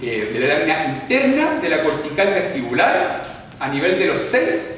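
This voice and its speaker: Chilean man, higher-pitched voice, chatty explanatory lecturer, far from the mic. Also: very animated, moderate pace, a bit bright, a little hiss or hum. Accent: Chilean Spanish. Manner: explanatory, checks in often with the audience, chatty asides. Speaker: orthodontics lecturer. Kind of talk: live classroom lecture with audience questions. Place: lecture hall. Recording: echoey hall recorded from far off.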